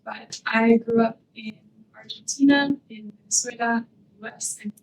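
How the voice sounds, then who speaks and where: A young ministry intern from Canada shares her story in church. Her voice sits a little high, and she speaks softly and with care. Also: fairly even intonation, moderate pace, rough recording. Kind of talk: personal testimony in church.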